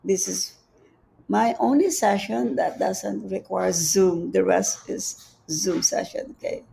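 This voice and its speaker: A woman with a Filipino accent giving a gentle, repetitive spiritual teaching on a livestream, reading out viewer comments. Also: mid-range voice, slow, vocal fry, a little hiss or hum, poor audio. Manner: gentle, repeats phrases, reads viewer comments aloud. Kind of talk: live-streamed spiritual teaching. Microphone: livestream setup.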